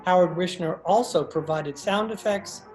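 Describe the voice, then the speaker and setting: A woman's voice: lowish voice, normal speed, a little mellow, faint music behind. A woman announcer reading scripted closing credits for a radio play.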